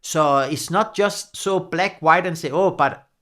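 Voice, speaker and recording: A man in his forties with a Danish accent talks casually to the camera, gesturing a lot and laughing often. His English is halting and non-native, and his voice sits somewhat high. He is recorded close on a studio mic in a small home studio. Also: bright, forceful tone. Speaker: numerology coach. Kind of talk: casual talking-head explainer. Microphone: large studio mic on boom arm.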